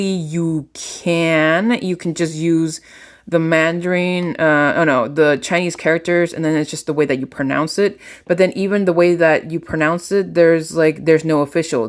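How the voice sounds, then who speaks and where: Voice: mid-range voice, steady tone, moderate pace. A channel host from Colombia, a native Spanish speaker, talking to viewers in a casual, rambling vlog. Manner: rambling, talks to viewers directly.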